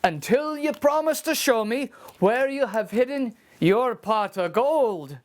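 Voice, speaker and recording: Storybook: woman doing a young farmhand, dogged and insistent, slow and measured. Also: on the high side, animated, a little mellow, voice pushed up. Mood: firm. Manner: firm, insistent. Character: poor young Irish farm lad, stubborn and clever.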